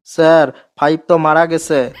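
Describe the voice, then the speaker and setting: A man's voice: on the high side, level tone, moderate pace, smooth, lightly acted. A man voicing a dim, earnest schoolboy in a comedy cartoon, naive in manner.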